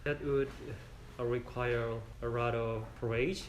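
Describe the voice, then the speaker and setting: Young male student, Korean accent, mid pitch, casual, roomy echo and chatter behind. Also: steady tone, a little slow. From South Korea. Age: young adult. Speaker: student interviewee. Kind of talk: casual interview answers. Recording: echoey room, crowd chatter.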